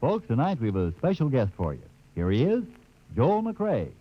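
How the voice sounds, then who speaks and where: A man's voice: medium pitch, wildly swooping pitch, moderate pace. An American man with an upbeat, folksy sales delivery in scripted 1950s radio advertising. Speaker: radio sponsor pitchman. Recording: dull, noisy vintage radio recording.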